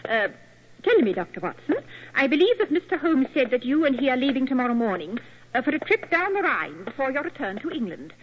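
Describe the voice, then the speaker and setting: A genteel, cheerful young lady in an old radio play. Her fairly high voice is very animated, coy and polite, on a muffled recording. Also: fairly quick, a little hiss or hum. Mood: coy, eager. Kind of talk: 1940s radio acting.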